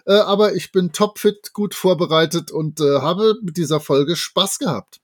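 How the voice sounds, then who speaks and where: A man's voice: sits high, normal speed, no room sound, studio quality. A man, chatting casually and enthusiastically as a podcast co-host. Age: 50s. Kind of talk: casual chat.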